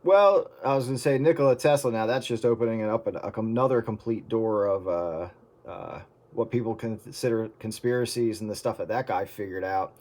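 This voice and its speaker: A man's voice: lowish voice, fairly quick, darkish tone. A man chatting casually and musingly with friends over CB radio. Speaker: participant in CB radio chat.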